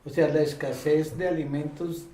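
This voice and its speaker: Hesitant man asking questions, mellow voice. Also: on the high side, little pitch movement, moderate pace, echoey room, far from the mic. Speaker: interviewer. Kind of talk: interview.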